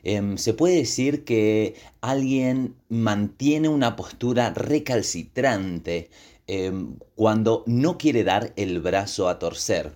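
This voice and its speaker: A man who hosts a language podcast, talking as a teacher to learners in a deliberate, explanatory way and pausing to explain words. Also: on the low side, animated, darkish tone. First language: Spanish. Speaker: podcast host and Spanish teacher.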